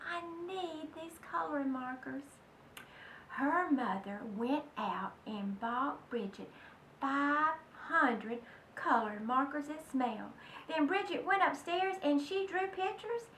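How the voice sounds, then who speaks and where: A woman's voice: high voice, expressive, slow pace, fairly bright tone. A woman reading a children's storybook aloud expressively, speaking directly to young listeners. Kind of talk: children's read-aloud narration. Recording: roomy, distant mic, faint noise.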